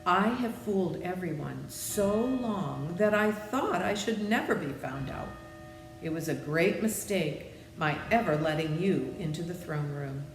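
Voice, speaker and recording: A woman's voice: on the low side, expressive, unhurried, echoey room, long reverb tail, soft background music, low-quality recording. A woman voicing a meek little humbug wizard, a trembling, apologetic man in a storybook reading. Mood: anxious. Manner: meek, pleading.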